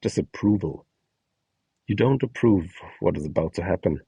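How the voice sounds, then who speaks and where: A man giving instructional narration in a measured, explanatory way with a light touch of whimsy. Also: low voice, lively intonation, vocal fry, warm tone, husky, no echo. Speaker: presenter of a voice donation guide.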